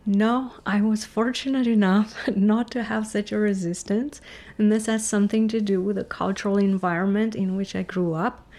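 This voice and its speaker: A middle-aged woman from Romania, a physicist guest giving thoughtful, measured interview answers with frequent restarts. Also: mid-range voice, fairly even intonation, darkish tone.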